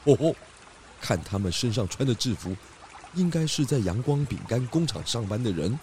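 An actor playing a calm, clever pig detective in a children's radio drama, patient and instructive. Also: male voice, mid-range voice, moderate pace, music in the background, lightly acted.

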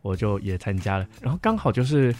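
A man's voice: on the low side, very animated, a little fast, a little mellow. A young man, chatting casually as a podcast guest. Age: young adult. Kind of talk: casual chat. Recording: background music behind the voice. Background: music.

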